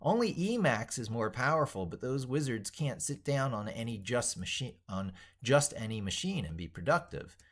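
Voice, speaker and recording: A man's voice: mid-range voice, sing-song, moderate pace, few pauses. A man delivering an opinionated tech monologue in an emphatic, declarative way, reworking and repeating his phrases as he drafts them aloud. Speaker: tech educator presenter.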